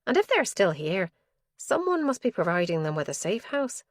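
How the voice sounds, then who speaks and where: A woman lifting her voice for a brisk, wry young policewoman. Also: mid-range voice, sing-song, dry recording, studio quality, natural voice.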